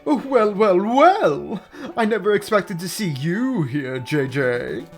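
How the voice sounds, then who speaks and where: A man's voice: sits high, big pitch swings, takes it slowly, faint music behind, full character voice. A man voicing a pompous rooster prosecutor, an arrogant rival who talks in a haughty, mocking, theatrical way. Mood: smug.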